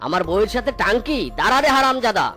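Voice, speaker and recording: Brief: angry cartoon cop husband, quick and barking, a woman doing the voice, loud music. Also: a little high, expressive, pitched below the performer's own voice, close to an everyday voice. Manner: barking, threatening. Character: gruff male policeman husband, angry.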